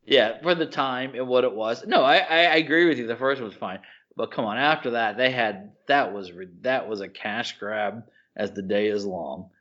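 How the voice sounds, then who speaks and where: Man, podcast co-host, mid-pitched voice, emphatic, slangy, opinionated, repeats himself, slightly lo-fi audio. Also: normal speed, fairly bright tone. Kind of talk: casual opinionated podcast chat.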